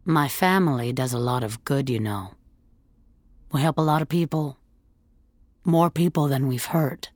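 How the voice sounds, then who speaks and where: A woman narrator voicing a cold, menacing man in an audio drama, falsely calm and quietly controlled. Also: extremely low pitch, unhurried, pauses often, dry recording. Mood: quiet menace.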